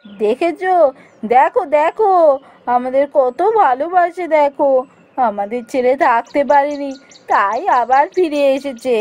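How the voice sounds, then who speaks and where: A woman's voice: sits high, a little slow, a little mellow. A woman playing a poor, tender, practical village wife in a fairy-tale cartoon, speaking expressively and emphatically. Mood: delighted.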